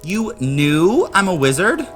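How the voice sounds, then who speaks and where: Man acting curious young boy, high pitch, bewildered, urgent questioning, audio drama, music behind. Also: huge pitch swings, slow pace, fairly bright tone, full character voice.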